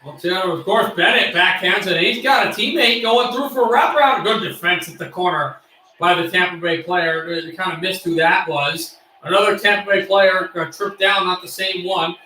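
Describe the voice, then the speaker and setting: Man, high bright voice, excitable hobby play-by-play, voice fraying, roomy off-mic sound. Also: normal speed, distant mic, a little hiss or hum. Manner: excitable, bursts into shouts on shots. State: losing his voice. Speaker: play-by-play streamer. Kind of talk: amateur play-by-play commentary.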